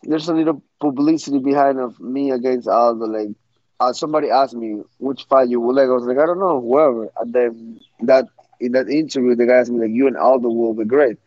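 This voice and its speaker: A man's voice: medium pitch, a little fast, no room sound, highs cut off. A young man from Ecuador, giving frank, blunt interview answers full of restarts. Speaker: guest fighter.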